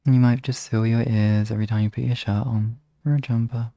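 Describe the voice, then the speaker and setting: A man chatting casually and softly with his listeners as an ASMR streamer, relaxed and unhurried. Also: medium pitch, dry recording. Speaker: ASMR hypnosis live streamer.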